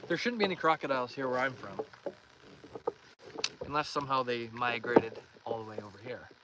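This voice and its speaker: A nineteen-year-old man from Northern Ontario with a Canadian accent, chatting in a relaxed, friendly way and reading viewer comments aloud on a live stream. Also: mid-range voice, brisk. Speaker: live-streaming fishing host. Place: outdoors on a lake in a canoe. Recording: outdoor stream with clear background noise. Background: water and boat noises.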